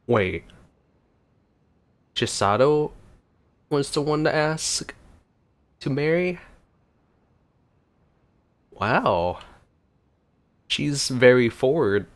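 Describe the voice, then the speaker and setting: A man giving casual gameplay commentary, musing aloud in halting phrases as he plays. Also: mid-range voice, expressive. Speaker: let's play streamer. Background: game music.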